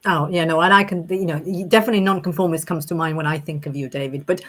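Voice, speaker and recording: A woman's voice: deep voice, fairly even intonation, quick pace, a bit bright. Romanian-born woman hosting a podcast. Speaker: podcast host. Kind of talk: conversational interview.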